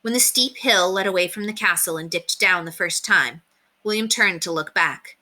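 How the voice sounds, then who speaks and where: A woman reading a children's book aloud as an expressive storyteller. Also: fairly low pitch, normal speed.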